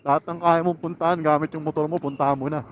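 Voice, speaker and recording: Filipino man, fairly high voice, casual motovlog chat, laid-back, muffled intercom audio, light road noise. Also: level tone, quick pace. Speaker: motorcycle vlogger. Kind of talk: casual solo chat while riding. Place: outdoors, riding a motorcycle on a city road. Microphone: motorcycle helmet intercom mic.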